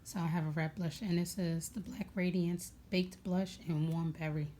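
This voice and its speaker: A young woman with a raspy voice chatting casually at home, rambling with many fillers as she goes through her makeup bag. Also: on the low side, fairly even intonation, normal speed, dark tone, a little hiss or hum. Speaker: beauty vlogger.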